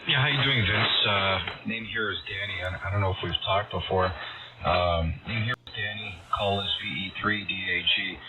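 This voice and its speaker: A man chatting casually as a ham radio operator, friendly and technical, with a halting delivery. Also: low voice. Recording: dull radio signal under loud static.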